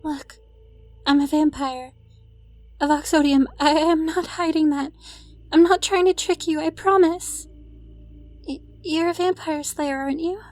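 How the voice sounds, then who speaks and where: A woman playing a grieving, newly turned vampire mother in an audio drama, pleading in a hesitant, halting way. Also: high voice, fairly even intonation, darkish tone, no room sound, soft background music. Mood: wary, earnest. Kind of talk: cinematic audio roleplay acting.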